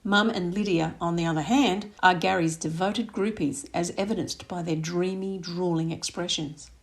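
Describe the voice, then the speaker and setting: Woman narrating, slightly low voice. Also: normal speed. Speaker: author reading aloud. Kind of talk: expressive audiobook narration of a romantic comedy.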